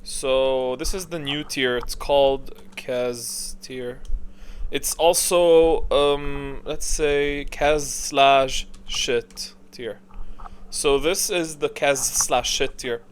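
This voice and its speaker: Male gaming creator, medium pitch, casual commentary style, rambling and opinionated with emphatic slang. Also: steady tone, extremely slow, smooth.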